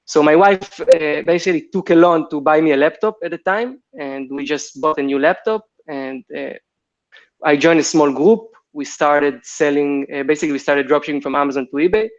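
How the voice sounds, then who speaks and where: A man's voice: a little high, fairly quick, highs cut off. A man in his late twenties with an Israeli accent, telling his story as an interview guest, hesitant with many fillers and restarts. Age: 20s. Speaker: guest, software founder.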